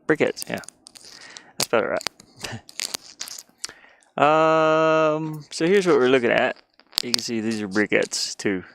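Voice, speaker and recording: A man chatting casually on a livestream, rambling and halting, often putting down his own efforts. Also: mid-range voice, vocal fry, noisy background. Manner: halting, rambling, self-deprecating. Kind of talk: casual livestream chat while sketching.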